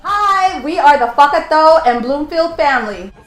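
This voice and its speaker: A woman from Samoa runs a lighthearted family word game, keeping things moving with a playful lead. Her voice sits high. The room is echoey, with faint music underneath. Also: expressive, moderate pace. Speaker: vlog host. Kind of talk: casual family game show.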